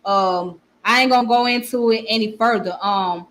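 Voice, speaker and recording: Southern woman, higher voice, talking to viewers. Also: normal speed, a bit bright. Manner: repeats phrases, talks to viewers and reads comments. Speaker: channel host. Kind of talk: casual livestream commentary.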